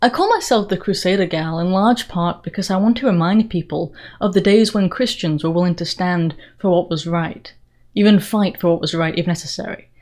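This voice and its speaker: A woman's voice: on the low side, moderate pace, darkish tone. Woman with blended English-Southern US accent. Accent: mix of Northern English and Southern US. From England. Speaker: political commentary video host. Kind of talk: direct-to-viewer channel introduction monologue.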